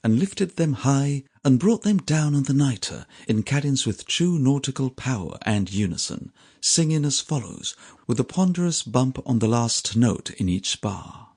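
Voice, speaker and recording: A man reading a literary sea story as an audiobook narrator, with a measured, theatrical storytelling delivery. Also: mid-range voice, lively intonation, darkish tone, breathy edge.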